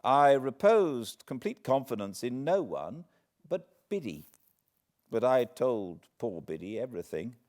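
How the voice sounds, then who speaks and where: A man, reading a classic novel aloud in measured, expressive storytelling. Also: medium pitch. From Britain. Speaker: audiobook narrator. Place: cozy home spot beside a fireplace.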